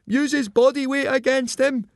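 Man as a storybook schoolboy, high pitch, fretful, loyal, earnest, loud. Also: expressive, normal speed, no echo, studio quality. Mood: urgent encouragement. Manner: fretful, shouting. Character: anxious, loyal boy, squeamish yet encouraging.

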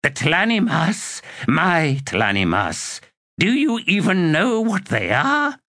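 A man voicing Olar Ethil, an ancient female sorceress with a raspy, contemptuous voice, in an audiobook reading. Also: on the high side, wildly swooping pitch, slow, sharp tone, no echo, studio quality, voice pushed up, heavily acted.

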